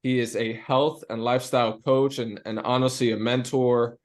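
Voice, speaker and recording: A man's voice: mid-range voice, fairly even intonation, moderate pace. Young guy hosting a podcast, kinda eager, trips over his words a lot. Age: young adult. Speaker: podcast host. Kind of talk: casual interview.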